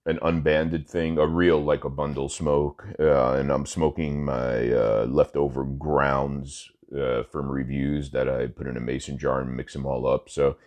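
A man's voice: rumbling low, unhurried, few pauses. A man hosting his own daily podcast, talking casually. He rambles with many fillers and self-corrections, and he sounds stuffy from allergies. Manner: relaxed, smoking while talking. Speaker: podcast host. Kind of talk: casual solo podcast talk.

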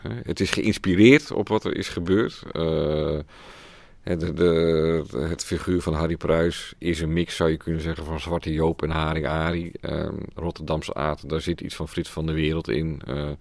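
A man's voice: very deep voice, little pitch movement, moderate pace, gravelly, a little mellow, dry recording. A man speaking as an author in an interview, explaining his work reflectively. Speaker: author of the story.

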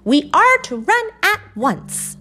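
A woman voicing a chorus of small meadow mouse children reciting their lessons in high, earnest voices. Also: sing-song, takes it slowly, pushed and bright, soft background music, full character voice. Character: timid young meadow mice children, eager pupils.

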